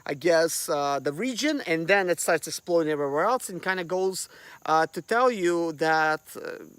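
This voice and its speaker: Rambling man, slightly high voice. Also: lively intonation, normal speed, fairly bright tone, smooth, dry recording. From Russia. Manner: rambling, full of fillers like "like" and "you know". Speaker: vlog host. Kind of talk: casual chat to camera.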